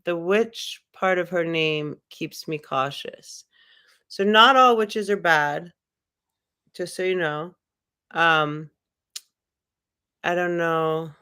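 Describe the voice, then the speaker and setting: A woman's voice: lowish voice, slow, pauses often, bright, forceful tone, smooth. A young woman hosting a casual livestream, rambling and musing in a loose, spiritual way with many filler words. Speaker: livestream host. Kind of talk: casual livestream chat, reading viewer comments.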